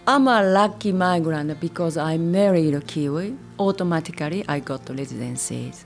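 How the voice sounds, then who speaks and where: A Japanese woman, a native Japanese speaker, tells her migration story in simple, halting English and laughs often. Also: deep voice, gravelly, soft background music. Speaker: interviewee. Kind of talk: personal story, monologue.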